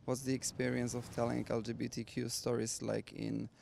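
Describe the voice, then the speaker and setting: A man's voice: medium pitch, monotone, moderate pace, vocal fry, a little mellow, slight background noise. A man in his thirties from Poland, a film director, speaking English hesitantly in short answers into a handheld mic. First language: Polish. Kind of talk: casual panel conversation.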